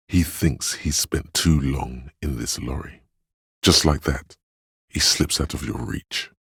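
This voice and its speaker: A man voicing a grave, compassionate inner voice in a video game, narrating in a measured, solemn, confiding way with a gravelly, husky tone. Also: very deep voice, dry recording, clean studio sound, heavily acted. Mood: gentle, sorrowful. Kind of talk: scripted game narration, literary and brooding.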